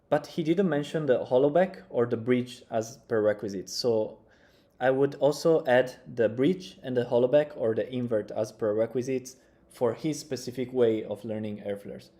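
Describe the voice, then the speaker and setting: A young man talks over a video he is watching, relaxed and unhurried, thinking aloud with loose grammar. His voice is mellow and dark, picked up by a desk webcam in a small room. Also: medium pitch. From Italy. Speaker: breaking coach reacting to tutorials. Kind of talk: casual reaction commentary.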